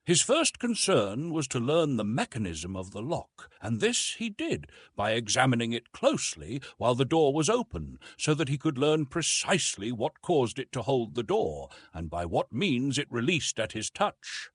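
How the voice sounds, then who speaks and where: A man narrating a literary audiobook in an expressive, measured storytelling manner with dramatic emphasis. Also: medium pitch, slightly rough, dry recording.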